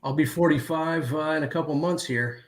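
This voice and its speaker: A man's voice: on the high side, fairly quick, distant mic. A man in his forties on a panel chat, easygoing and agreeable. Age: 40s. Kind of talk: casual chat.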